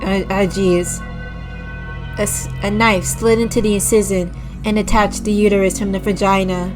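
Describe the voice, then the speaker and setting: A woman streamer reading a visual novel aloud with a slightly raspy voice, restarting over stumbled words, clearing her throat often and breaking off into disgusted asides to her chat. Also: medium pitch, little pitch movement, moderate pace. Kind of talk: slow dramatic read-aloud with casual asides to chat. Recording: music playing clearly behind the voice.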